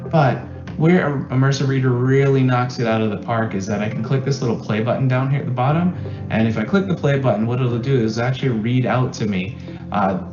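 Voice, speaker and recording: A man giving a relaxed school tech demo for parents, with lots of "uh" and "um" and words said twice for emphasis. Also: medium pitch, a little fast, echoey room, dull audio, over music. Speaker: school technology presenter. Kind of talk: screen-share software demo.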